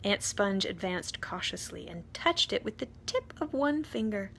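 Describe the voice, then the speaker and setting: A woman reading a children's story aloud expressively, deliberate in manner, with dramatic pauses. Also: medium pitch, big pitch swings, gravelly, a bit bright, slight background noise. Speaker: storytime reader, priest.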